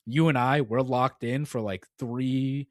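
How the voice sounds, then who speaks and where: A man's voice: mid-range voice, steady tone, unhurried, no room sound, clean studio sound. A young man co-hosting a casual gaming podcast, rambling and filling his talk with "like" and "dude.". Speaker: podcast co-host.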